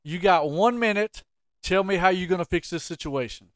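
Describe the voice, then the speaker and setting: A man co-hosting a podcast, talking sports in an animated, joking way and quizzing his co-host. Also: high voice, normal speed, no echo, studio quality. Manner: animated, jokey, plays the interviewer. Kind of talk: casual sports chat.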